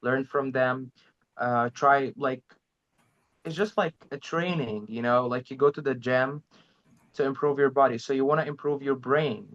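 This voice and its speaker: A man whose first language is Arabic, sharing language-learning tips in a halting, hesitant way. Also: mid-range voice, steady tone, a bit bright. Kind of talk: casual interview chat. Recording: slightly dull lo-fi audio.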